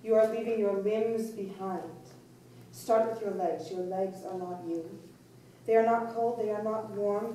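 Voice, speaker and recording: A woman's voice: medium pitch, warm tone, natural voice. Woman acting grave elderly soothsayer in echoey room. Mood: hypnotic. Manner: formal, deliberate, hypnotic. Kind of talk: staged play reading. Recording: echoey room, distant mic, faint noise.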